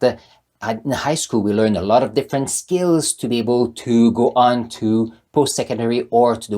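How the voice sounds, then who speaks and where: A man's voice: medium pitch, darkish tone, slightly rough. A man in his sixties with a French-Canadian accent, French his first language, giving an earnest motivational talk to camera as the channel's host. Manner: earnest, emphatic, repeats key words.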